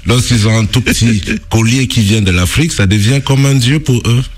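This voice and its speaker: A man's voice: medium pitch, normal speed, breathy edge. A man taking part in a radio discussion, speaking emphatically and building on what the others say.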